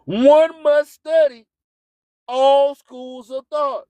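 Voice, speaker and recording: Male internet radio host, very high pitch, unhurried pace, declamatory and repetitive with rhyming catchphrases. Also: steady tone, mellow, no echo. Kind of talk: live show hype and preaching monologue.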